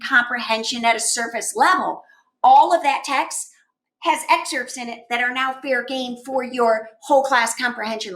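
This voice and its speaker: A woman giving conversational instructional advice to teachers in an emphatic, animated manner, often posing rhetorical questions. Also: high voice. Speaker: education consultant answering teachers' questions. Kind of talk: conversational instructional talk to camera.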